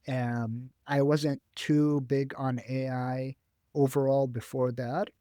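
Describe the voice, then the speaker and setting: A young man whose first language is Arabic speaks slowly and deliberately, in a middle-range voice, pausing often on "uh" as he thinks. He is a graduate student guest in a career interview. The sound is dry and close. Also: medium pitch, a little mellow, no room sound. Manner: measured, thoughtful, with frequent "uh" pauses.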